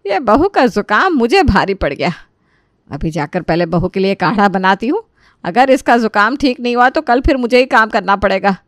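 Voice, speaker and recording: Bossy middle-aged mother-in-law, voiced by an actress, sarcastic and complaining, animated family tale, dry close sound. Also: mid-range voice, normal speed, dry recording, lightly acted. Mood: grumbling. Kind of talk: Hindi animated moral story acting.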